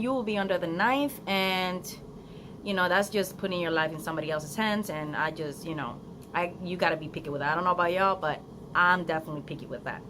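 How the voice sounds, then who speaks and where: A young woman with a Spanish accent talks straight to her phone outdoors, chatty and earnest, stumbling through ums and restarts as she gives advice. Her voice sits a little low, and steady outdoor noise is clearly heard behind her. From Florida, United States. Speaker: vlogger. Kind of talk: casual unedited vlog.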